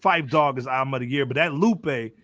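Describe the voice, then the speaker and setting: A man's voice: mid-range voice, brisk, a bit bright, dry recording. An American man hosting a music podcast with casual, slangy hip hop talk, repeating phrases for emphasis. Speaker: host of a music podcast panel.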